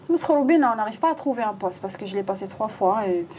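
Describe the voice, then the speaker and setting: A woman's voice: mid-range voice, animated, fast talker, background noise. An Algerian woman, an English teacher, chatting casually and switching between French, English and Arabic. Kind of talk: casual podcast chat.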